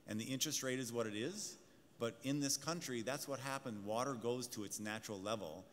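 A man speaking confidently on a conference panel, pitching to the audience. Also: brisk. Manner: confident, salesy, addresses the audience. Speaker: fintech executive panelist. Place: large conference hall stage. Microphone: table mic. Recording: hall reverb, faint room noise.

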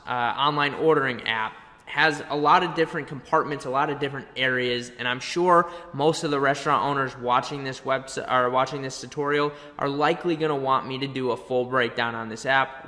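A young man presenting a conversational software tutorial, explaining step by step with little check-in questions. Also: mid-range voice, steady tone, normal speed, hardly any pauses. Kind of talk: conversational screen-share tutorial. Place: indoor room, webcam beside screen capture. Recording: long reverberant room echo.

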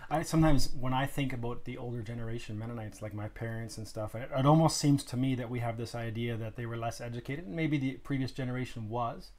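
A man in his thirties hosting a podcast, talking in a relaxed, chatty way during a casual interview. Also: medium pitch, fast talker, few pauses. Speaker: podcast host. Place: small wood-paneled room indoors.

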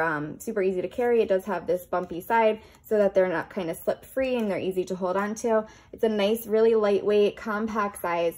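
A woman giving a casual, chatty product review, explaining features as she goes in an informal unboxing style. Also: medium pitch.

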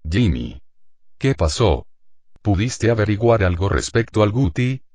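A man playing a terse, deliberate police detective with a gravelly voice in a crime drama. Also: on the low side, sing-song, a little mellow, no echo. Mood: businesslike. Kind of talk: scripted machinima crime drama dialogue.

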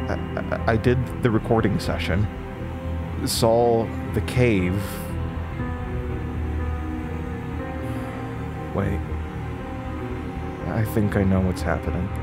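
An actor playing a confused, distraught male suspect with no memory, halting and stammering, in an audio drama. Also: lowish voice, fairly even intonation, over loud music. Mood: shaken, dawning dread.

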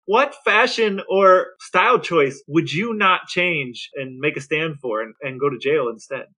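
An American man co-hosting a podcast, bantering casually with a dry, deadpan delivery. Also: a little high, a little slow, husky, poor audio. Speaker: podcast co-host.

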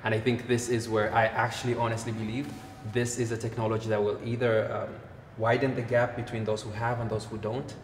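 A man, a healthtech co-founder, explaining his work in an interview with a measured delivery and frequent fillers, recorded in a bright office. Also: lowish voice, little pitch movement, roomy sound, big-room sound, a little hiss or hum. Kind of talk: technical interview answers. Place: bright office by large windows, indoors.

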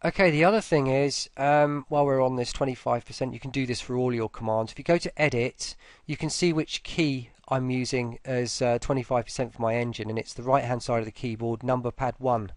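A man talking through a casual screen-recorded software tutorial, informally, with muttered asides to himself. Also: medium pitch, steady tone, a little fast, hardly any pauses, no room sound.

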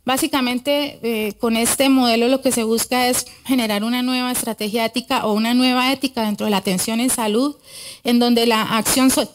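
A middle-aged woman giving an academic conference talk at a podium microphone in a large auditorium, earnest and often pausing to correct herself. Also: a little high, fairly even intonation. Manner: earnest, with frequent hesitations and self-corrections. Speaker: conference speaker. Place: large wood-panelled auditorium.

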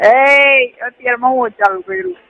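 A woman's voice: high voice, lively intonation, brisk, gravelly. A woman with a Kathiawadi Gujarati accent chatting casually on a recorded phone call. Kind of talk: casual private phone chat.

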